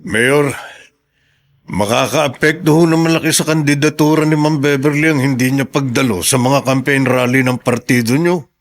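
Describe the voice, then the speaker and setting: A man as a mayor's aide in a radio drama, with a medium-pitched voice. He is deferential and concerned, putting careful questions. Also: steady tone, moderate pace, lightly acted. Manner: deferential, probing. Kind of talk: Filipino radio drama acting.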